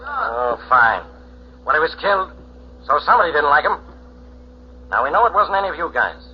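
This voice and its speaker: A gruff police inspector, sarcastic and pushy, barking in a high-pitched voice. The vintage radio recording is muffled, with faint noise underneath. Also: male voice, sing-song, normal speed. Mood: sarcastic, bullying. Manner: barking, interrogating. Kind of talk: 1940s radio acting. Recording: dull, hissy 1940s radio recording.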